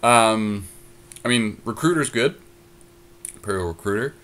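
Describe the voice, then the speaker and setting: Very low-voiced man mulling over picks. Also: expressive, a little slow, a bit bright. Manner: thinks aloud with many ums, weighing options. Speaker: podcast co-host. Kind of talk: casual card-draft discussion.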